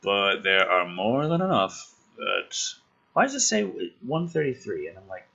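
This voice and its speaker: A man with a forceful, husky voice does dramatic script reading and casual commentary. His pitch swings widely as he gets exasperated. He is sarcastic and swears freely, with groaning asides. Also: very animated, measured pace, highs cut off. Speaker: host of a gaming and film commentary channel.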